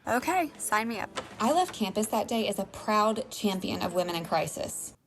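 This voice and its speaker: Naive young college girl, sincere, medium pitch, bit of background ambience. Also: big pitch swings, normal speed, fairly bright tone, a little hiss or hum. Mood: curious, earnest. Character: earnest, naive young female college volunteer.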